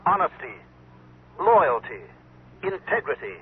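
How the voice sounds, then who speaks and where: An actor playing a smooth, vain politician who is privately snobbish and anxious, in a radio drama. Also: male voice, on the high side, sing-song, slow, heavily acted. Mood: earnest oratory. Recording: narrowband, noisy old radio recording.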